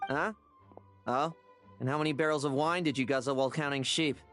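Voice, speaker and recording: A woman's voice: a bass voice, steady tone, a little fast, fairly bright tone, long reverb tail, faint music behind. A woman playing a cheeky, evasive young knight in a game, with a breezy, glib manner. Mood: flippant. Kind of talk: game voice acting.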